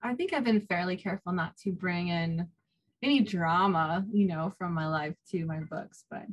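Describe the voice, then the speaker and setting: A woman's voice: lowish voice, moderate pace. A woman chatting informally as an author guest in an interview, given to small laughs between phrases. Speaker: guest, self-published author. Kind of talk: casual interview chat.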